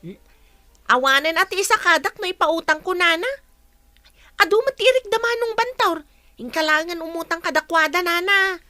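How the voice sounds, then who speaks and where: A woman's voice: extremely high pitch, animated, moderate pace, bright, forceful tone, no echo, faint music behind, full character voice. A woman playing a gossipy, teasing older village mother in an Ilocano radio comedy drama, with an Ilocano accent. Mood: curious, needling.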